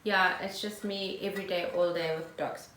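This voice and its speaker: Bright-voiced woman in echoey room. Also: lowish voice, fairly even intonation, moderate pace. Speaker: podcast co-host. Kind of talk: casual podcast chat. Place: indoors at home. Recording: echoey room, noticeable background noise.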